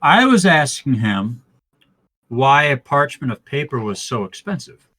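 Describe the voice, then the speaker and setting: Player, man, argumentative and animated. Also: medium pitch, a little slow. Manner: argumentative, haggling. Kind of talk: casual game chat.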